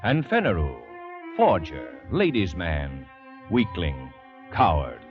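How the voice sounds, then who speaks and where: A grave storyteller narrates a vintage radio play, slowly and with hugely swooping, theatrical intonation. Brass-led music plays behind the dull old recording. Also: male voice, low-pitched, wildly swooping pitch, full character voice. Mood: grave, dramatic. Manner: measured, ominous, theatrical. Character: grave storyteller of an adventure tale. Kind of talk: dramatic scripted radio narration. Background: orchestral music with brass.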